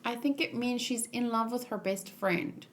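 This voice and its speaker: A woman's voice: a little high, moderate pace. A woman hosting a read-along, chatting casually and thinking aloud as she goes. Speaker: host of a manga read-along.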